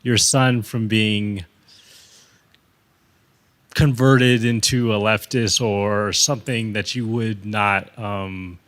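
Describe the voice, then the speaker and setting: A man's voice: on the low side, steady tone, takes it slowly, long pauses, a bit bright. A man asking a spontaneous question from the audience in a hesitant, tentative manner.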